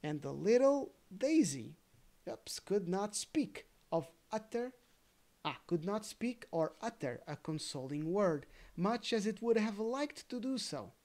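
A storybook reader telling a fairy tale in a careful, gently expressive way, with casual asides. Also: medium pitch, unhurried.

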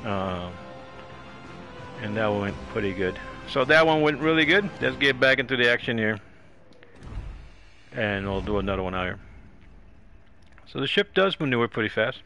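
A man chats casually through a live game stream in a forceful, creaky voice at a middling pitch. He rambles a little and repeats his phrases, with faint game music underneath. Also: expressive, moderate pace, pauses often. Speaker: gaming streamer.

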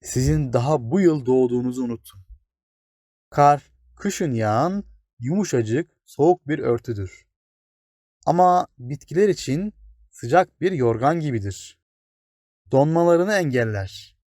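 A man's voice: medium pitch, animated, measured pace, a little mellow, no room sound, studio quality, close to an everyday voice. A man voicing an old, wise, towering plane tree in a children's storybook, kindly but grave, explaining things patiently. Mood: gentle, encouraging. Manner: explains patiently, exclaims and addresses small listeners. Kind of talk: children's storybook character reading.

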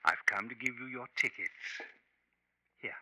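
A man's voice: medium pitch, a little slow, sharp tone, a little hiss or hum. An actor playing a nervous, evasive middle-aged male professor in a 1950s radio drama. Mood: coaxing, anxious.

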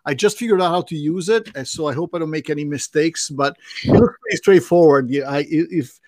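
A man's voice: fairly high pitch, brisk, no echo. Italian-accented man, chatty on a video call. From Italy. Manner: chatty and animated, with many fillers and restarts. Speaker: guest doctor presenting a webinar. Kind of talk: casual webinar presentation. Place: home office with bookshelves. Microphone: video call with desk mic.

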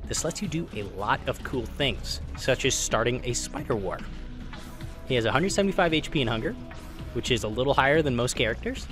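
A man narrates a scripted video game guide with an informal, opinionated delivery. His pitch moves up and down in a lively way as he stresses his points. Music plays clearly behind his voice. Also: fairly quick. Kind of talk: scripted game explainer voice-over with casual asides. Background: background music.

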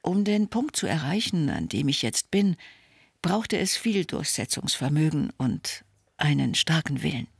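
A man reading a calm, measured first-person voice-over that translates signed speech in an interview. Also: on the high side, gravelly, slightly rough, dry recording, clean studio sound.

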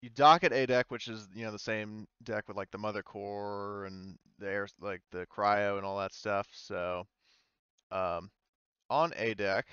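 Male game master, informal and halting, smooth low voice, close dry slightly dull recording. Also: a bit bright, no room sound. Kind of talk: improvised narration of a tabletop roleplaying game.

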